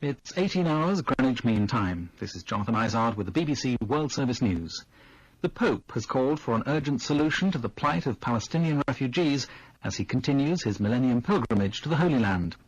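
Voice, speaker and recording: A muffled, dry radio recording of a man. He reads the news formally in a medium-pitched, somewhat husky voice. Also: expressive, a little fast, no room sound. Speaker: newsreader. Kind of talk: formal scripted news bulletin. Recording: dull, lo-fi radio broadcast recording.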